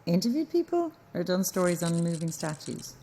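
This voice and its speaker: An irish reporter, lowish voice, sarky and straight talking, audio drama. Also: female voice, big pitch swings, moderate pace, warm tone, slight background noise. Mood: dry, challenging. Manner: dry, sardonic. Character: wry, blunt Irish woman news reporter. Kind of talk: naturalistic audio drama acting.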